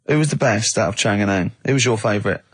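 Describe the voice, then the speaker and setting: Husky southern English man, teasing. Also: lowish voice, fast talker, dry recording, clean studio sound. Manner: mocking, teasing, acts out imaginary dialogue. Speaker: radio show co-host. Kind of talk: unscripted comic radio banter.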